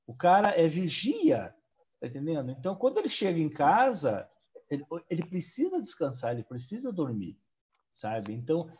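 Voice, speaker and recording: Um homem numa live por chamada de vídeo, meio agudo, com um jeito de falar informal e repetitivo. O áudio tem pouca definição, com um tom apagado. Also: male voice, fairly high pitch, darkish tone, lo-fi sound. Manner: loose, repetitive, ends lines with "sabe?" and "tá entendendo?". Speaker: psychologist guest on a live panel. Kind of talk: casual panel discussion. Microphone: video call.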